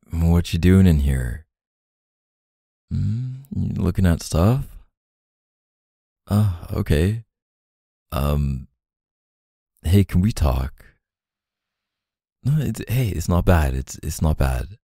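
A young man playing an easygoing, tender boyfriend in an intimate roleplay audio, speaking softly and hesitantly in casual slang. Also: deep voice, expressive, extremely slow, gravelly, long pauses, husky, dry recording, clean studio sound, heavily acted. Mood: gentle, anxious.

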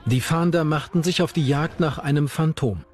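A man's voice: on the high side, moderate pace, a little mellow. A man reading scripted narration for a true-crime documentary. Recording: narration over background music.

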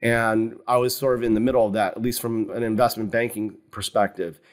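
A man in his fifties, a fund founder, talking through his career as an interview guest in a calm, explanatory way over a video call from a home office. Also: fairly low pitch. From United States. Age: 50s. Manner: measured, explanatory, with frequent "you know". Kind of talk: conversational interview. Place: home office with wooden cabinets. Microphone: video call webcam.

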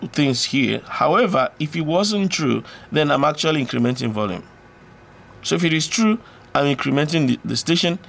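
A man presenting an electronics tutorial, explaining step by step in an informal, halting way with repetitions and self-corrections. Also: on the high side, gravelly, fairly bright tone.

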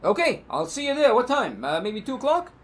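A man's voice: very high-pitched, very animated, a little fast, full character voice. A middle-aged man playing a shady, casually confident petty crook in an improvised soap opera, breezy and streetwise.

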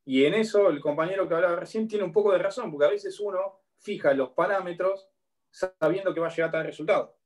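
An Argentine man in his thirties lectures from a home room with a bookshelf, speaking into a laptop webcam. His voice sits slightly high, and his delivery is explanatory. He keeps pausing to check in with his students. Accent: Argentine Spanish. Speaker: law lecturer. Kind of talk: online university lecture. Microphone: laptop webcam on a video call.

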